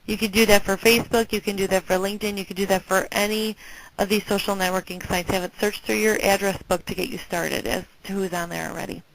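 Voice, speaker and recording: A woman's voice: mid-range voice, fairly even intonation, fast talker. A woman giving an informal instructional presentation in a conversational, directive way, often checking in with her listeners. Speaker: presenter, alumni relations career staffer. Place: group conference call. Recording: clearly noisy conference call with clicks.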